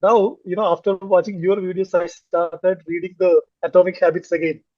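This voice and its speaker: Hesitant Malayali-accented man, high voice. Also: little pitch movement, normal speed, no room sound, muffled recording. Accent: Indian English, Malayali. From Kerala, India. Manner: hesitant, many fillers and restarts. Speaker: English learner, finance manager. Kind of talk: casual conversation on a video call.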